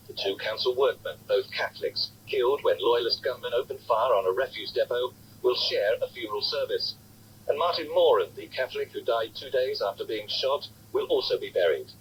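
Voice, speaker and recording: A man reading a scripted radio news bulletin. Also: medium pitch. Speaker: news reader. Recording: faintly noisy old radio broadcast.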